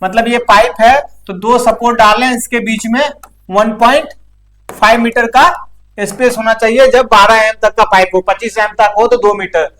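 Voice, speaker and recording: A man's voice: high-pitched, steady tone, moderate pace, fairly bright tone, poor audio. A male instructor talks through practical technical material in an informal way. He explains things step by step and often adds short check-in tags to keep the learner following.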